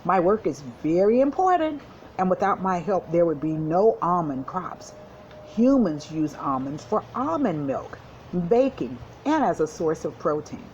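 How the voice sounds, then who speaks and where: A woman voicing a small, proud female bumblebee in a children's storybook, shrill, instructive and encouraging. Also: very animated, a little slow, darkish tone, dull audio, slight background noise. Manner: instructive, reassuring. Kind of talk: children's storybook read-aloud.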